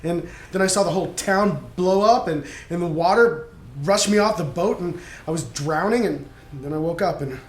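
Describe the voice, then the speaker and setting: A man's voice: high-pitched, normal speed, few pauses. A man playing a tabletop game, chatting casually and speaking in character. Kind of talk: casual in-game chat and roleplay. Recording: echoey room, faint background noise.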